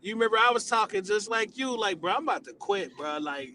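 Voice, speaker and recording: A man with a high-pitched voice chatting as a guest, animated and quick to hype things up. A faint hiss sits under his voice. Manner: animated, laughs and hypes often. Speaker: guest, music producer. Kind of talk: casual chat. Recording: faint background noise.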